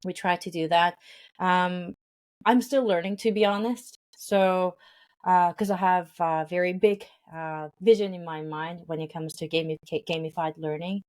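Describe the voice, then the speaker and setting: Low-voiced woman explaining with restarts. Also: steady tone, normal speed, darkish tone. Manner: earnest, explains with many fillers and restarts. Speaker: founder of a language game company, guest. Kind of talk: interview conversation.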